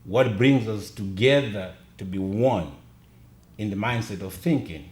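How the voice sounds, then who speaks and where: A man's voice: lowish voice, unhurried, a little mellow, echoey room. A middle-aged man from Zimbabwe, talking earnestly as a podcast guest and repeating key phrases for emphasis. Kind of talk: casual conversation.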